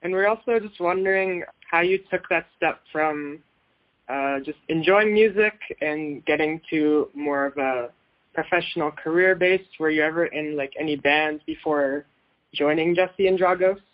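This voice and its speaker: A man with a somewhat high voice hosts an interview, asking friendly, prepared questions. The audio is a little muffled and lo-fi. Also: normal speed. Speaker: interviewer host. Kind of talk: podcast interview.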